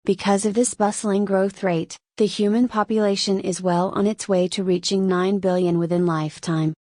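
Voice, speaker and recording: A woman's voice: mid-range voice, steady tone, normal speed, warm tone, no room sound, clean studio sound. A woman reading a scripted practice passage aloud as narration. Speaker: reader of exam practice passages.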